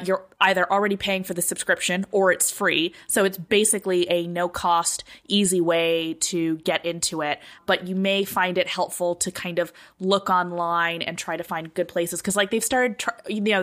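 A woman's voice: on the low side, a bit bright. A woman co-hosting a podcast, talking in a casual, conversational way. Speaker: podcast co-host.

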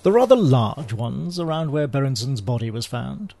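An actor playing an urbane, wry British spy in a spoof radio drama, with a droll, understated British delivery. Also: male voice, mid-range voice, very animated, normal speed, gravelly, dark tone, dry recording. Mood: wry, composed. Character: urbane, wry male British spy, unflappable gentleman agent.

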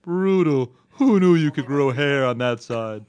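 A man joining a casual podcast chat with deadpan lines. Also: mid-range voice, unhurried, muffled recording, slight background noise. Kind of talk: casual banter.

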